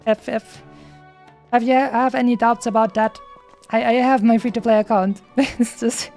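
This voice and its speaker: A woman's voice: on the high side, fairly even intonation, normal speed. A woman game streamer giving casual, enthusiastic commentary in a rambling, hesitant way. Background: soft game music.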